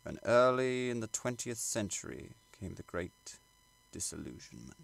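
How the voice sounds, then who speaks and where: A man reading literary prose aloud as an audiobook narrator, in a measured, formal and dramatic way. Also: mid-range voice, big pitch swings, vocal fry, no room sound. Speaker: audiobook reader.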